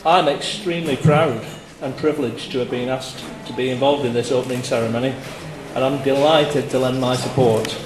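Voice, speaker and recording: A man's voice: medium pitch, fairly even intonation, brisk, hardly any pauses. A man in his sixties reading a formal civic speech as mayor into a handheld microphone in a hall. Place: large hall at a podium. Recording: echoey hall with clear background noise.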